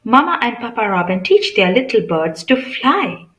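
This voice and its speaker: A woman's voice: on the high side, very animated, moderate pace, a bit bright, echoey room. A woman reading a picture book aloud to children in a teacherly way, asking questions and explaining simply. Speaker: read-aloud channel host.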